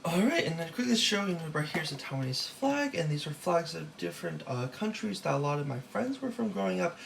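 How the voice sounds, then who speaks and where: A young man giving a recorded class presentation about his slides, casual and halting, with many fillers and restarts. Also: a little high, big pitch swings, vocal fry, slight background noise. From Los Angeles, California. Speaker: student presenter.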